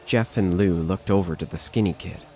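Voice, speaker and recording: A man's voice: low voice, moderate pace, darkish tone, soft background music. A man narrating a scripted horror story as the narrator of an audio drama.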